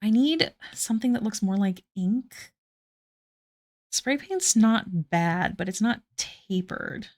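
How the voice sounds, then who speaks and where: A woman's voice: mid-range voice, lively intonation, slow pace, darkish tone. Young woman streamer, giggly and self-mocking, casual chat while drawing, mid-range voice. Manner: giggly, self-deprecating, chatting to viewers. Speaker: artist streaming live.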